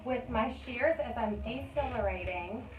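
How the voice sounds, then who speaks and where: A woman's voice: a little high, measured pace. A woman in her thirties, a hairstylist, chatting and explaining each step as she cuts hair for a tutorial. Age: 30s. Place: hair salon. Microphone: phone camera. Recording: echoey room, tinny phone-like sound, faint noise.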